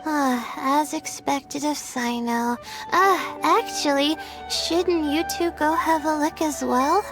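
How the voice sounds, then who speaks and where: Polite young girl in a game, high soft-mannered hesitant voice, music behind. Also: takes it slowly, few pauses. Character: gentle, polite, hesitant young female astrologer. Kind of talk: animated video game voice acting. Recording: music under the voice.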